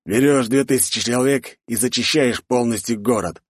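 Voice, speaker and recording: A man's voice: medium pitch, moderate pace, breathy edge, no room sound, studio quality, voice pushed up, lightly acted. A man voicing a stern, protective middle-aged count with an authoritative manner in a fantasy audiobook. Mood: furious, commanding. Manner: authoritative, commanding.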